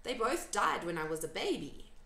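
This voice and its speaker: A woman's voice: mid-range voice, big pitch swings, moderate pace, creaky, pushed and bright, full character voice. A woman voicing a frank, plucky little orphan girl in a children's storybook, answering plainly. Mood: matter-of-fact. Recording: roomy home echo.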